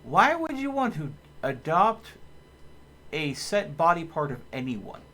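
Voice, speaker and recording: A man's voice: on the high side, big pitch swings, a little slow, a bit bright. A man giving casual live game commentary, thinking aloud and talking to his viewers. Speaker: video game live streamer.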